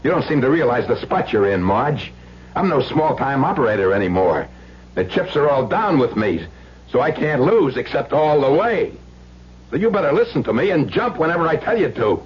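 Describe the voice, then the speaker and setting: A hardened ex-convict in an old radio thriller, desperate and menacing. His voice is rough and husky, full of slangy threats, on a dull vintage broadcast recording. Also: mid-range voice, big pitch swings, normal speed. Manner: menacing, slangy tough-guy talk.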